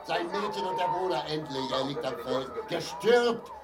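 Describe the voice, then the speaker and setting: A man's voice: on the high side, lively intonation, moderate pace, distant mic, close to an everyday voice. An actor playing a domineering old family father in a grotesque radio drama, grumbling and barking coarsely. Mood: excited. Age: older. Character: gruff, domineering old family patriarch glued to the television.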